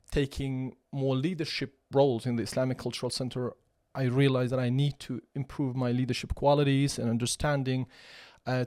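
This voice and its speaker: A man's voice: medium pitch, darkish tone, no room sound. Kurdish-accented man, dry close sound. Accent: Iraqi Kurdish. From Kurdistan region of Iraq. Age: 40s. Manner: thoughtful, with many fillers and restarts. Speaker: podcast guest, chief executive of a cultural centre. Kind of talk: conversational interview.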